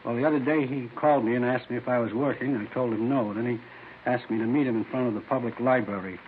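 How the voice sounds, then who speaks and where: An uneasy, down-on-his-luck informant played by a man talks in a medium-pitched voice, halting and earnest. It is vintage radio drama acting on a tinny recording with audible hiss. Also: steady tone, close to an everyday voice.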